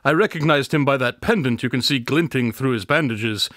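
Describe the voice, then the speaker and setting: A man's voice: medium pitch, fairly quick, fairly bright tone, no echo, natural voice. An actor playing a clever, smug male detective in a radio drama, with a dry, formal, deductive manner. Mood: knowing, explanatory.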